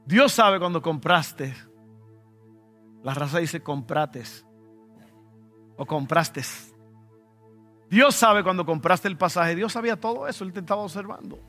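A man's voice: high-pitched, measured pace, long pauses, sharp tone, faint music behind. A male pastor with a Dominican Spanish accent, preaching a conversational sermon with personal stories and sudden emphatic bursts. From Dominican Republic.

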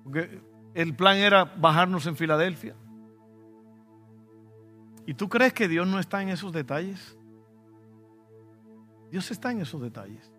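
Man, pastor, Dominican Spanish accent, storytelling sermon, emphatic, sharp bright tone, soft music underneath. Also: sits high, expressive, a little slow, long pauses. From Dominican Republic. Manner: conversational preaching with personal stories, rising to emphatic bursts.

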